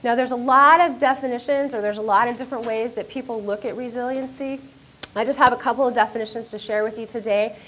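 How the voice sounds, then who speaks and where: A middle-aged woman, a university professor, giving an animated, self-deprecating lecture to an audience on a microphone in a lecture room. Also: on the high side, fast talker, low-quality recording. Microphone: handheld or stand microphone.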